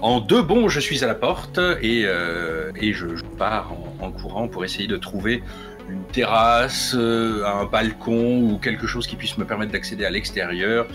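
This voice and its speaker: A man playing a character in a tabletop roleplaying game, describing his actions in a measured way. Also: fairly low pitch, over music. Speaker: player.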